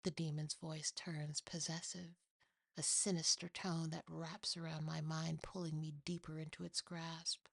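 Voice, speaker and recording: Woman doing hushed asmr horror narration, very slow, dry close sound, dramatic and almost whispery. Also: sits low, level tone, gravelly, breathy edge, no echo. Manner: hushed, often near whispering. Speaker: ASMR storyteller.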